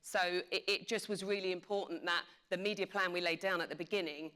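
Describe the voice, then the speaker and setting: A woman's voice: on the low side, steady tone, a little fast, a bit bright. Emphatic woman speaking on a panel. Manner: emphatic, repeats phrases for emphasis. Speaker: media executive panelist. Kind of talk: panel discussion, conversational.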